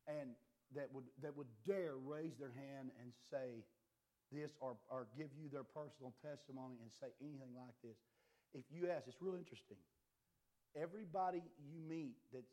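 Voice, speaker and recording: Impassioned preacher man, slightly hoarse. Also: on the high side. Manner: impassioned, swinging between quiet and loud. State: losing his voice a little. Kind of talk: fiery sermon.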